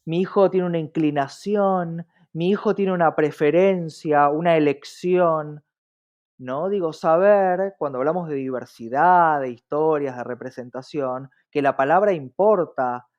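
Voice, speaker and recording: Higher-pitched Argentine man, earnest interview guest. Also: a little slow, a little mellow, dull audio. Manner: earnest, often checks with a tag question. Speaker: interview guest, diversity activist. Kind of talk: reflective interview talk.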